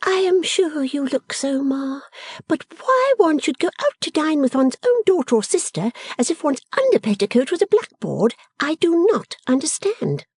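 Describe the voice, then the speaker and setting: Sulky, disdainful young lady, put on by a female reader, high and airy, swooping pitch. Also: big pitch swings, moderate pace, a little mellow, no room sound. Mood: haughty. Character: haughty, sulky young daughter, pert and disdainful.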